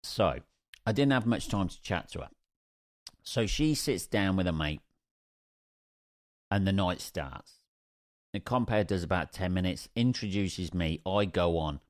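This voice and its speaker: A man's voice: low-pitched, lively intonation, unhurried, long pauses, no room sound, clean studio sound. A young man from Northampton with an English accent, a stand-up comedian telling a rambling, sweary story on his own podcast. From England. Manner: sweary, rambling, jokey asides. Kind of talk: casual solo podcast storytelling.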